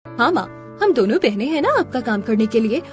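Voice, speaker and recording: A woman's voice: a little high, sing-song, normal speed, soft background music. A woman voicing a caring, soothing young daughter in a cartoon family drama.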